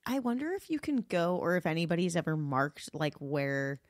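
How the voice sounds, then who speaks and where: A young woman with a lowish voice that swings up and down a lot, chatting casually as a podcast co-host. She reacts fast and teases her partner playfully. The sound is dry and close. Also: sing-song, no room sound. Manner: reactive, quick to gasp and laugh, playful teasing. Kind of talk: casual chat.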